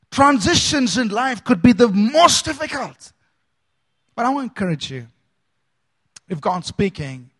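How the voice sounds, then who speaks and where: A man from India, a pastor preaching a sermon with dramatic swings between loud emphasis and soft asides. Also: high-pitched, husky.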